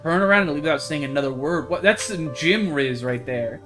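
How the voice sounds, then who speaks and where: A man's voice: fairly high pitch, lively intonation, fairly quick. A man streaming a game live, reading it aloud and reacting with exclamations and some swearing. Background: game music.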